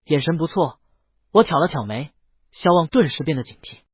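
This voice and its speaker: A man reads a first-person story aloud in a fairly high, breathy voice, his pitch rising and falling with animated expression. The recording is dry and a little dull, like a modest home setup. He tells it as a steady, scripted audiobook narration. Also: normal speed, dry recording.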